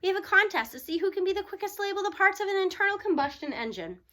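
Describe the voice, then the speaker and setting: A woman with a very high, bright voice reads a children's story aloud. She narrates in the first person with animated expression, acting the tale out as she goes. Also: rapid-fire. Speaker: audiobook reader.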